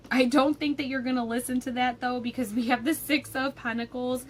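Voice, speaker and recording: A young woman giving a casual tarot forecast, a little raspy from a cold, chatty with frequent ums and likes. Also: sits high, fairly even intonation, a little fast, a little hiss or hum. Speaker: tarot reader.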